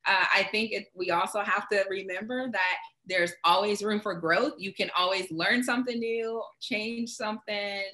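Woman on a video panel, bright upbeat voice, talks with her hands. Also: mid-range voice, steady tone, moderate pace, few pauses. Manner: upbeat, gestures while speaking. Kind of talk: panel answer. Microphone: video call.

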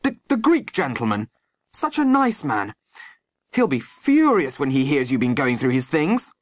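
Narrator doing bossy flustered storybook woman, high pitched, loud, indignant and gossipy. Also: sing-song, measured pace, dry recording. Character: feisty, indignant, chatty woman at a hotel.

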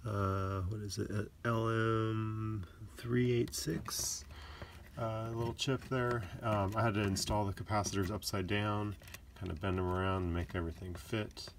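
A man giving a casual hands-on demo of a homemade gadget, explaining it in a matter-of-fact, slightly halting way. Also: on the low side, fairly even intonation. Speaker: maker demonstrating a homemade gadget. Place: small indoor hobby workbench. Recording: loud background noise with clicks.

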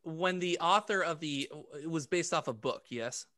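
A man's voice: fairly high pitch, normal speed, sharp tone, no room sound, clean studio sound. A young adult man co-hosting a podcast in casual banter. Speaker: podcast co-host. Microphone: desk microphone with headphones, video call.

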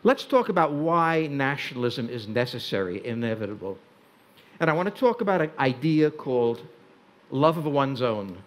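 An older Hungarian-born man giving a wry, deliberate talk on a stage in a large tent before an audience. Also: medium pitch, sing-song. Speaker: speaker.

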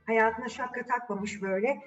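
A woman's voice: fairly high pitch, normal speed, fairly bright tone, distant mic, highs cut off. A woman telling a fairy tale to children conversationally, addressing them directly with questions. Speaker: children's storyteller.

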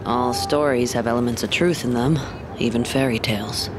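A man's voice: mid-range voice, fairly even intonation, normal speed, lightly acted. An actor playing a sardonic, protective wolf-kin father in an audio drama, with street noise behind him. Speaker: father helping his daughter.

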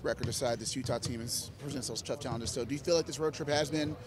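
A male reporter asking a basketball player quick, conversational questions. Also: mid-range voice. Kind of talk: press question. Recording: crowd chatter behind.

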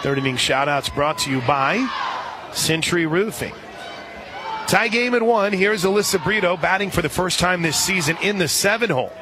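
Higher-pitched male radio play-by-play announcer, crowd behind. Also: lively intonation, moderate pace, noisy background. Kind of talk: live softball commentary. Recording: crowd murmur behind broadcast voice. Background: outdoor stadium crowd.

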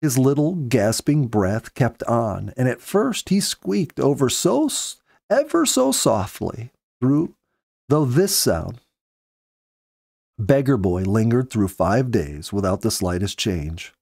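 A man in his fifties reading a story aloud in measured, earnest storybook narration, close to the microphone. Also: mid-range voice, very animated, vocal fry, darkish tone, no echo. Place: small indoor home study. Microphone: close studio microphone with pop filter.

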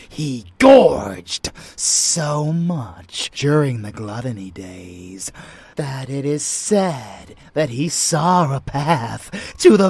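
A rebellious, bloodthirsty male vampire for a dark ASMR roleplay. His voice is very husky and crackles with fry. He speaks theatrically, with wide swings in pitch, taunting and sneering at his sweetheart and often sinking into a whisper. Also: no room sound, full character voice. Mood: fervent, reverent. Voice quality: raspy, breathy, often dropping into whispers. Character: unhinged rebellious male vampire, seductive, zealous, bloodthirsty lover. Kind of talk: roleplay ASMR dramatic monologue.

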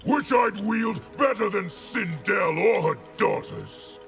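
A man voicing a brutal, arrogant warlord general in a fighting game, menacing and imperious, with a booming gravelly voice. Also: animated, slow pace, a bit bright, soft background music, exaggerated voice. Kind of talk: video game fight dialogue.

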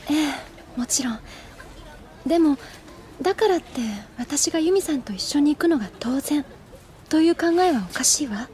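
An actress playing a composed teenage schoolgirl in an anime audio drama, soft-spoken, polite and detached. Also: sits high, lively intonation, normal speed, long pauses. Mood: cool, detached.

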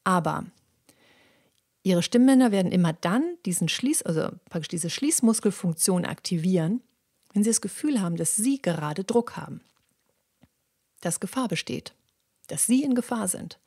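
A woman hosting a podcast, explaining how the voice works in a lively, chatty monologue with many fillers and repeats. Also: mid-range voice, breathy edge. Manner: lively, acts out little dialogues in quick voices; frequent fillers and repeated words. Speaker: podcast host and voice coach.

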